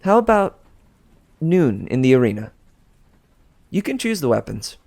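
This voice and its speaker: A man's voice: mid-range voice, very animated, unhurried, creaky, darkish tone, voice pushed up, full character voice. A man voicing a confident teenage storybook heroine with a bold, breezy, taunting manner. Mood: bold challenge. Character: confident, cheerfully defiant teenage girl heroine.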